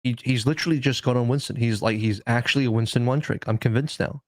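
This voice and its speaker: Male esports analyst, dry studio sound, mid-pitched, conversational but insistent, repeats himself for emphasis. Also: fast, darkish tone, dry recording. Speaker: podcast panelist.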